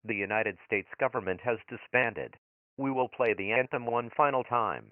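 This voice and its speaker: A man playing a grave emergency alert announcer, reading formal scripted bulletins in a flat official way through a radio filter. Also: mid-range voice, moderate pace, dry recording. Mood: grim, official. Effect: radio broadcast filter. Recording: muffled, phone-like radio transmission.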